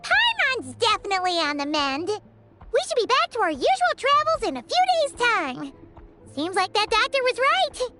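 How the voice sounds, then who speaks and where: A woman voicing a small, excitable, curious childlike fairy companion in a video game, with a squeaky voice. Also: moderate pace, loud music behind the voice, full character voice. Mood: chatty. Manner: refers to self in third person, exclaims eagerly.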